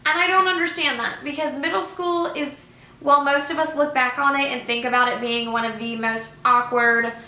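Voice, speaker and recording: A young woman talks straight to camera in a chatty, expressive way, her voice bright and a little high. The room around her rings with echo, and a faint hum sits underneath. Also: moderate pace. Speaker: middle school teacher. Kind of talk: casual vlog to camera. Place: living room by a fireplace, indoors.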